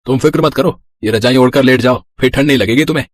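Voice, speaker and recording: A man's voice: mid-range voice, fast, no echo, clean studio sound, lightly acted. A man playing an easygoing young husband in a cartoon moral story, casual, reassuring and teasing.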